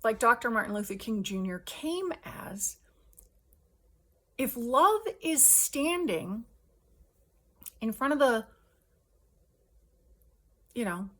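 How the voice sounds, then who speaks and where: A woman with a husky voice delivers a personal spiritual monologue. She takes her time, breaking thoughts into short, emphatic phrases with halting pauses, and keeps the wording casual and earnest. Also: a little high, expressive, a bit bright. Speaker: host sharing a personal spiritual testimony.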